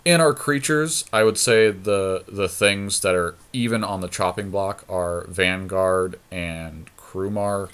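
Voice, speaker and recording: A man's voice: low-pitched, slow pace, few pauses, fairly bright tone, clean tone. A man talking casually and thinking aloud as he commentates on a card game draft in colloquial speech. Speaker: podcast host, card game player.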